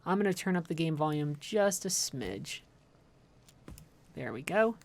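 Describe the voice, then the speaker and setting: A woman's voice: low voice, big pitch swings, a little slow, vocal fry. A woman doing casual livestream game commentary, chatting informally with viewers and rambling into playful asides. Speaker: livestream host playing a video game.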